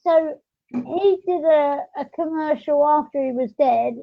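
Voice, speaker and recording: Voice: high-pitched, unhurried, creaky, no room sound. A podcast host chatting casually in a hesitant, rambling way. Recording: muffled lo-fi audio.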